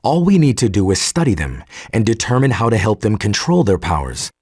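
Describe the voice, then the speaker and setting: An actor playing a formal, articulate male geneticist recording an audio log for a game, measured and husky. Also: fairly low pitch, big pitch swings, a little mellow, no room sound, clean studio sound. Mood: grave, troubled. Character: earnest male geneticist turning cold and militant.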